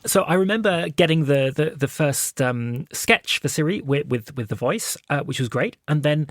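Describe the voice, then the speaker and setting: A man's voice: on the high side, animated, normal speed, no echo, clean studio sound. A man producing a podcast, recollecting casually and somewhat hesitantly in a behind-the-scenes chat.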